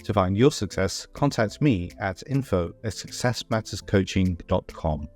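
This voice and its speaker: A middle-aged British man hosting a podcast interview, soft-spoken and hesitant, with many fillers and restarts. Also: low-pitched, expressive, moderate pace, faint music behind. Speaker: podcast host. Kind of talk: casual interview chat.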